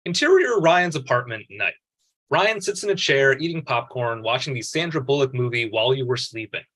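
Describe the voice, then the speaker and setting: A man's voice: mid-range voice, animated, a little fast, a bit bright. A man reading out screenplay stage directions as scripted narration at a table read.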